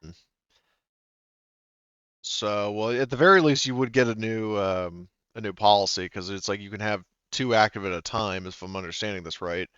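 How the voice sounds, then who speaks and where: A man's voice: fairly low pitch, moderate pace, a bit bright, smooth, no echo, lo-fi sound. Hesitant man running a game. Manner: hesitant, thinks aloud with many fillers. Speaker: game master. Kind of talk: running a tabletop game session.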